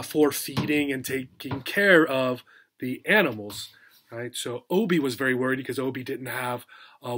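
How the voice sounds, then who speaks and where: A man's voice: medium pitch, a bit bright, slight background noise. A man teaching as he reads a children's story aloud, telling it expressively and simply for learners.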